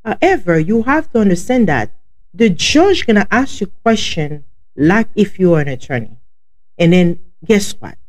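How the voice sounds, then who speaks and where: A woman's voice: medium pitch, very animated, normal speed, a little mellow. A woman with a heavy Haitian accent, a native Haitian Creole speaker, hosting a podcast and chatting directly to her listeners. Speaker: podcast host. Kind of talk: casual podcast chat.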